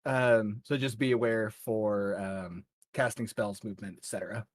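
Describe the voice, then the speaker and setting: A man acting as game master, narrating a tabletop fantasy game off the cuff in a measured, halting way. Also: on the low side, fairly even intonation, no echo.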